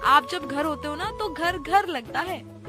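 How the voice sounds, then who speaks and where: A woman's voice: sits high, animated, measured pace. A woman voicing an emotional, sisterly young woman in a cartoon story, with a sweet, earnest, dramatic delivery. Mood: affectionate longing. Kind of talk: Hindi animated story dialogue. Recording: music behind the voice.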